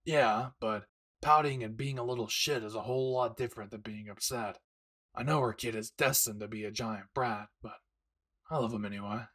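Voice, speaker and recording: A man acts out a brash young anime husband with a husky voice. He teases and reassures his wife tenderly, close and intimate, as in a romantic audio roleplay. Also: mid-range voice, normal speed, sharp tone. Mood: warm, reflective. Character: brash, devoted young husband, excited father-to-be.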